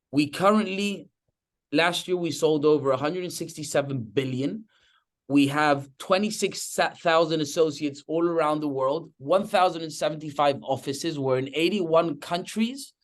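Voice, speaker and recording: A young man from Madrid with a Spanish accent, hosting a real estate webinar enthusiastically and often checking in with "okay?". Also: a little high. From Spain (Madrid). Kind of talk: webinar presentation.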